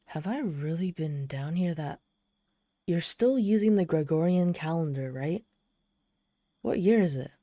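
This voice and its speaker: A woman's voice: on the low side, mellow, no room sound, clean studio sound. A woman playing a proud, desperate, socially awkward trapped demon in a scripted ASMR roleplay, speaking haughtily and directly to the listener. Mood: exasperated, baffled.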